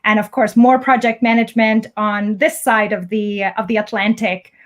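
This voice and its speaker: A woman born in Egypt, an academic guest, giving measured, explanatory interview answers. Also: on the high side, fairly even intonation.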